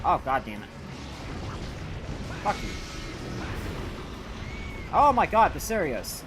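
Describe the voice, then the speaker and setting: Young adult male, high youthful voice, animated intonation, casual gaming stream commentary, rambling, informal, sweary. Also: takes it slowly, noisy background. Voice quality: youthful-sounding voice. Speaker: livestreamer playing a video game.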